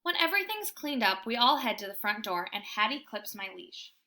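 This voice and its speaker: A woman reading a children's book aloud in an animated, emphatic storytelling style. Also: fairly high pitch, fairly quick. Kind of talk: expressive children's book narration.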